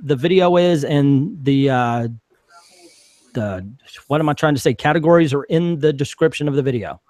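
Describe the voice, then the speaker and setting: A man's voice: fairly high pitch, darkish tone, no room sound. Rambling country-accented man, dry sound. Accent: American country, mixed with Chicago. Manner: rambling, drawn-out vowels, many fillers. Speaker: guest and fellow streamer. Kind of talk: casual online discussion.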